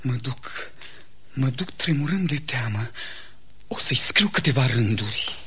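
An actor playing a lovesick, agitated young gentleman in a theatrical radio comedy, impassioned and melodramatic. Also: mid-range voice, animated, very noisy, close to an everyday voice. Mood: despairing.

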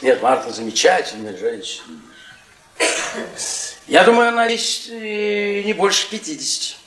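Mocking, swooping, higher-voiced middle-aged professor. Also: male voice, big pitch swings, normal speed, a bit bright. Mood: mocking, playful. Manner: rambling, sardonic, needling, repeats words mockingly. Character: bitter, mocking middle-aged male history professor, a worn-down failure. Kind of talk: theatrical Soviet radio play acting. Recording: roomy, distant 1980s radio play recording.

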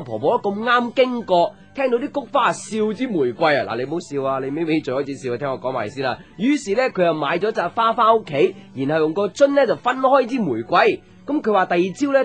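An actor playing a chatty, boyish young man with a Hong Kong Cantonese accent, telling stories playfully and confidingly in a radio drama. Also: a little high. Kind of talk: radio drama acting, casual storytelling chat.

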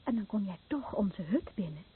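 A woman playing a frightened young wife recoiling from her stricken husband, hushed and intense, in a Dutch radio play. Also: mid-range voice, animated, normal speed, breathy edge. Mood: wary, uneasy. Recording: dry, narrow-band old radio recording.

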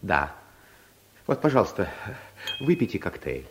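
An actor playing a timid, bumbling office clerk with a hesitant, earnest manner in a vintage radio play. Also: male voice, low-pitched, very animated, unhurried, slight background noise. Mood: nervous, earnest. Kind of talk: Soviet radio play acting.

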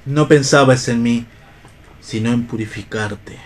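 A man's voice: mid-range voice, measured pace, lightly acted. A man acting a philosophical vagabond husband in a play reading for radio, with declamatory, literary phrasing that is tender, bitter and ironic. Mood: bitter, reproachful.

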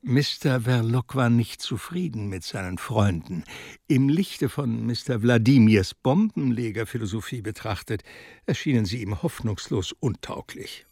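An actor as a sombre, measured literary narrator in a German radio drama. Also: male voice, mid-range voice, animated, vocal fry, a little mellow.

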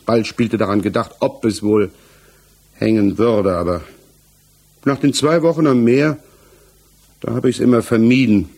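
A man's voice: medium pitch, vocal fry, a little mellow, husky. An actor playing a defensive, hesitant married village tradesman under questioning in a 1960s German radio play, searching for his words. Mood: hesitant, reflective. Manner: halting, searching for words, evasive.

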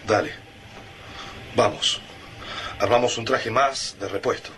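A man's voice: on the low side, lively intonation, takes it slowly, fairly bright tone, a little hiss or hum. An actor playing an anxious, practical man caught in an alien invasion in a radio play, tense and colloquial. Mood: urgent.

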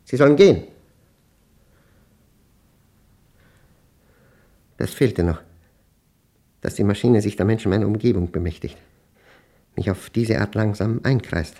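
An actor playing a paranoid, tormented man reading his obsessive diary in a German radio play, husky and intense, in clipped, brooding fragments. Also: fairly low pitch, normal speed, pauses often, mellow, close to an everyday voice. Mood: agitated.

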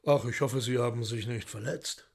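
An urbane foreign magician in a radio drama, with a creaky, gravelly edge to his voice. He speaks with grand courtesy and lordly, theatrical indignation. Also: medium pitch, fairly even intonation, moderate pace. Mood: suave courtesy.

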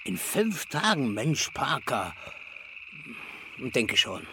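A mid-range male voice plays a fussy retired explosives man in a radio crime play. He lists details calmly and exactly, with faint background noise around him. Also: mid-range voice, extremely slow. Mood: calm, informative. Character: fussy, methodical retired male explosives expert selling weapons. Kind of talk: German crime radio drama acting.

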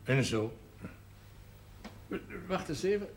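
A man acting a wry, cultured senior cleric in a television period drama, speaking in measured, formal and ironic phrases. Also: fairly high pitch, animated. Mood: ironic, deliberate. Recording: noisy old 1970s television soundtrack.

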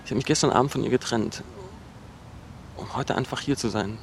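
A man's voice: mid-range voice, brisk, creaky, close to an everyday voice. A man plays an obsessive, hurt ex-boyfriend in a radio drama. His mid-range voice is insistent and accusatory, stumbling over repeated words in an improvised way. A faint noise sits behind him. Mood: wounded, pleading. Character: bitter, obsessive, wounded ex-boyfriend.

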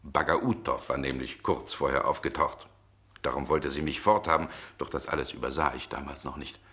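Stern gentleman, deep voice, formal, measured, direct, reserved, vintage radio drama. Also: fairly even intonation, heavily acted. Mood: brooding, confiding. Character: reserved, irritable gentleman, former lover, probing. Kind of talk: 1960 German radio play acting.

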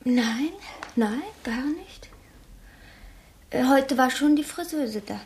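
An actress in a German radio drama playing a traumatised, childlike, fearful woman who speaks haltingly and repeats herself. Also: high-pitched, darkish tone, a little hiss or hum. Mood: dazed, childlike. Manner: halting, repetitive, childlike storytelling. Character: traumatised young woman living under her dead double's name, childlike, fearful.

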